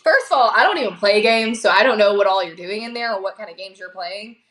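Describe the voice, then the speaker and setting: A woman's voice: medium pitch, fast, fairly bright tone, low-quality recording. A woman chatting casually on a livestream, blunt and emphatic as she tells stories. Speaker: livestream guest. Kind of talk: casual chat.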